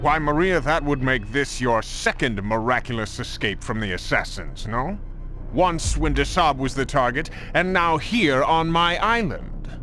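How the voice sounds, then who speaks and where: An actor playing a pompous, menacing Templar commander in a video game, scornful and theatrical with formal oratory. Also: male voice, medium pitch, expressive, measured pace, pushed and bright, a little hiss or hum. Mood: mocking. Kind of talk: dramatic video game cutscene acting.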